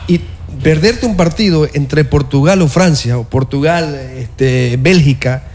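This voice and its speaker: A man's voice: a little high, unhurried, darkish tone. A man from Ecuador on a sports talk panel, rambling with lots of fillers as he makes his point.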